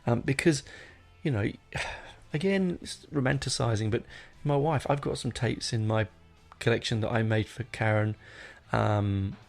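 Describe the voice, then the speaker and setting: A middle-aged man chats solo as a podcast host, with an average-pitched voice full of gravelly creak. He talks off the cuff, drifting into asides and rewording himself as he goes. Music plays behind him. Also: a little slow. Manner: rambling, digressive, self-correcting. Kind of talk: casual unscripted solo podcast chat.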